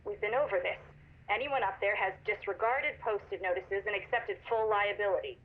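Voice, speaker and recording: A woman playing a cold, menacing corporate executive in a video game, stern and measured over a telephone. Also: medium pitch. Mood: cold, pressuring. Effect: telephone filter. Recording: muffled, noisy phone recording.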